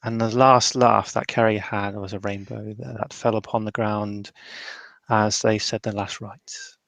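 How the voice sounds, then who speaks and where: A man playing a tabletop roleplaying game, improvising somber description in a halting way. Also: lowish voice, little pitch movement, gravelly, a bit bright, dry recording.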